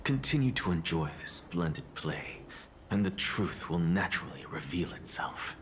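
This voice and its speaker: A man's voice: deep voice, big pitch swings, normal speed, fairly bright tone, slight background noise. A man acting a haughty, secretive schemer in a video game, theatrical and imperious. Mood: smug, commanding. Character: haughty, secretive male schemer savoring his spectacle. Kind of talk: scripted game dialogue acting.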